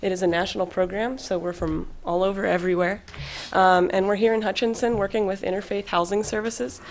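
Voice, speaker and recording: A woman's voice: mid-range voice, steady tone, fairly quick, a little hiss or hum. A young woman who leads a volunteer team, giving an informal presentation at a council meeting. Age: young adult. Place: public council meeting room.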